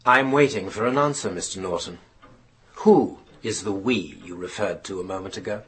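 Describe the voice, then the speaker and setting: Very husky, formal male interrogator. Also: low voice, moderate pace, a bit bright, exaggerated voice. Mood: insistent, probing. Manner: formal, insistent questioning. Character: formal, probing male inquiry chairman, measured and insistent. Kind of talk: naturalistic radio drama acting.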